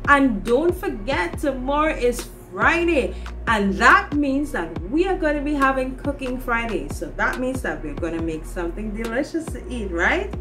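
A woman's voice: high-pitched, big pitch swings, moderate pace, distant mic, music in the background. A woman in her thirties with a Trinidadian accent, hosting a preschool lesson and speaking in simple, instructive phrases to young children. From Trinidad and Tobago. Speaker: children's show host, preschool teacher. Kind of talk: presenting a preschool circle-time lesson to children. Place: small indoor room with a classroom display wall.

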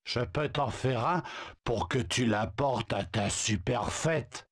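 A man's voice: medium pitch, animated, slow, no room sound, studio quality. An actor voicing a boastful, lovestruck male suitor who stammers when flustered. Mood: smitten. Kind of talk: video game dubbing.

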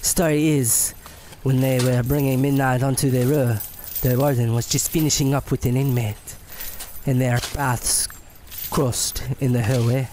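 Male actor as fatalistic French prisoner, mid-pitched, curt, world-weary, audio drama, background noise. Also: steady tone, unhurried, warm tone, lightly acted.

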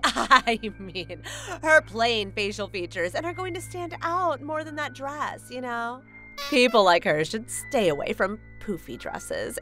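A woman's voice: fairly high pitch, fairly bright tone. A woman playing a condescending young wedding planner in a manga dub, an ex-girlfriend who is mock-polite and taunting. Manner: mock-polite, taunting, drawn-out jabs with scornful laughs.

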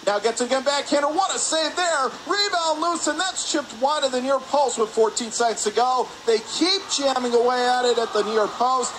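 A man doing live radio play-by-play hockey commentary in rapid, descriptive calls. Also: very high-pitched. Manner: rapid descriptive calls, excited on scoring chances. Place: press area above rink in an indoor arena. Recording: noisy ice arena, steady background din.

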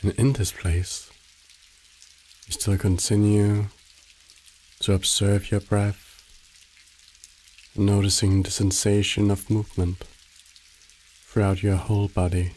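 A man narrating a guided meditation in a soothing, measured way, giving short instructions with long pauses. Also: lowish voice, flat delivery, mellow, low-quality recording.

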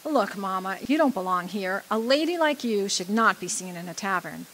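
A woman playing a loud, brassy, flashy dead woman, wry, defiant and flirtatious, in a radio drama. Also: medium pitch, animated, moderate pace, rough recording. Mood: gentle, brisk.

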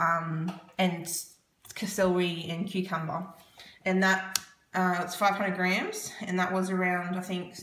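Chatty Australian woman in an echoey kitchen. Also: medium pitch. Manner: chatty, many ums, rambling price tallies. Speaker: vlogger. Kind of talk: casual grocery haul chat. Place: home kitchen, indoors. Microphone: phone camera held over the counter. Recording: echoey room with loud background noise. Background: husband and dog heard.

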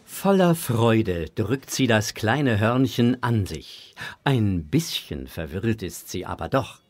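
A man narrating a children's audio drama in a measured, expressive storytelling manner. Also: on the low side, no room sound.

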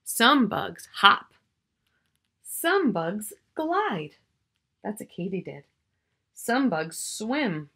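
A woman's voice: medium pitch, big pitch swings, very slow, long pauses, bright, forceful tone, slightly rough. A middle-aged woman reading a picture book aloud to young children, expressively, drawing out key words and chatting to the listener. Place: small furnished room at home, indoors.

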